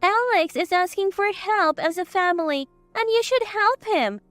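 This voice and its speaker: A woman's voice: very high-pitched, expressive, normal speed, fairly bright tone, no room sound, soft background music, voice pushed up. A woman voicing a greedy sister-in-law in a narrated story, whiny, demanding and indignant. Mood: pleading. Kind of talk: acted dialogue in narrated story.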